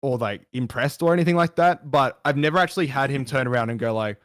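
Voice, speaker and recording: A man's voice: medium pitch, quick pace, no echo. A young man in his early twenties speaks as a guest in a casual chat. He rambles and circles back on himself, his voice sitting mid-range, recorded close and dry. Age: 20s. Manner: rambling, heavy use of like and restarts.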